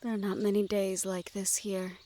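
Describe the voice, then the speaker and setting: A woman playing a gentle, well-bred young noblewoman in a fantasy audio roleplay, speaking in polite, measured phrases directly to the listener. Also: mid-range voice, level tone, a little hiss or hum, close to an everyday voice. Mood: serene, thoughtful.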